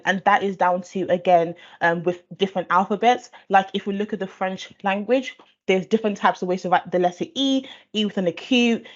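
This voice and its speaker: A woman from Nigeria who grew up speaking Igbo, explaining SEO in a thoughtful conversational way, with fillers and restarts. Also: fairly low pitch. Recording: dull lo-fi audio.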